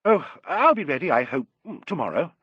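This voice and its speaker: A man's voice: fairly high pitch, big pitch swings, normal speed, breathy edge, no room sound, muffled recording. A man voicing a polite, candid, slightly tired woman guest in an audiobook, speaking with some hesitation. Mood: weary, agreeable. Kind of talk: audiobook character dialogue.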